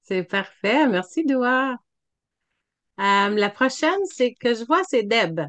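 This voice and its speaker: A woman's voice: fairly high pitch, lively intonation, slow, fairly bright tone, no room sound. A woman leading an online video meeting, handing the floor to each speaker and praising their answers. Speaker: meeting facilitator. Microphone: computer mic on video call.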